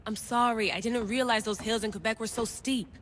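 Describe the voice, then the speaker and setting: An actress playing a young modern agent in a video game, casual and teasing in manner. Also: female voice, on the high side, steady tone, fairly quick, a bit bright, slight background noise, natural voice. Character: young female modern agent, curious and restless. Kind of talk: video game cutscene acting.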